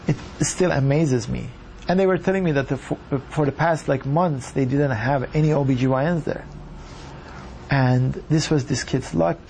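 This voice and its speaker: Man from Iran, doctor, earnest measured account, gravelly voice, faint background noise. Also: a little high, darkish tone. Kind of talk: reflective personal account.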